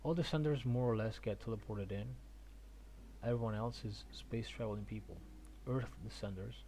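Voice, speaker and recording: A man from Argentina chatting casually on a game stream, haltingly thinking aloud and repeating words. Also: lowish voice, mellow, a little hiss or hum. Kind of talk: casual rambling stream chat about games.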